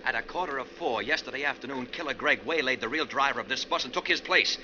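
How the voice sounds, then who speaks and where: An actor in a 1940s radio drama playing a defiant passenger who exposes a killer, insistent and dramatic. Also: male voice, medium pitch, quick pace, close to an everyday voice. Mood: accusing. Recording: muffled, noisy 1940s radio recording.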